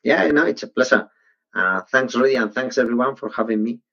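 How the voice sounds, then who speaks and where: A man with a Spanish accent and a mid-pitched voice speaks as a panelist. He talks conversationally, feeling for his words. The audio is dull, like an online call. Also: a little fast, a bit bright. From Spain. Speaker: panelist, banking technology executive. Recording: muffled low-fidelity online call audio.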